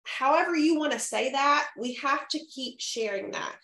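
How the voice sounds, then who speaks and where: A woman's voice: high voice, normal speed, fairly bright tone. Woman in her 30s, kinda froggy from a cold, peppy coaching over webcam, roomy echo. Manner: upbeat, coaching, checks in with "right?" and "okay?". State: hoarse from a summer cold. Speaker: direct sales team leader. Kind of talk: training talk to a sales team. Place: home room, indoors. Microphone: webcam on a video call. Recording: echoey room.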